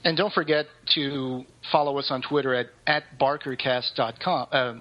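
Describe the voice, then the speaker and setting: A man co-hosting a podcast in casual conversation, recorded with muffled, low-fidelity sound. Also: fairly even intonation, normal speed. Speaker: podcast co-host. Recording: dull, lo-fi voice connection.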